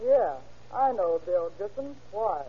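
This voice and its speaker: An actress playing a defiant, curt young woman with a husky voice in an old-time radio crime drama. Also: mid-range voice, lively intonation, slow, exaggerated voice. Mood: defiant, irritated. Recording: dull, lo-fi vintage radio recording.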